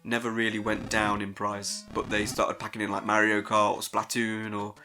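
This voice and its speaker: A man's voice: on the low side, monotone, normal speed, bright, forceful tone, faint music behind. A man hosting a casual, unscripted gaming audio log, rambling with hesitant but enthusiastic, informal, sweary delivery.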